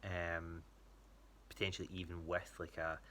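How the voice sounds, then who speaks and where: Low-pitched Scottish man, casual update. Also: flat delivery, measured pace, bright, forceful tone, a little hiss or hum. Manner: hesitant, rambling. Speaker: athlete giving a training check-in to a coach.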